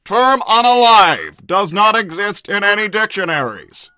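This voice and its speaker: Stern literal male robot, played by a man; smooth, crisp, clipped commands, dry mic. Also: sits high, sing-song, a little slow, sharp tone, dry recording, soft background music, full character voice. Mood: clinical.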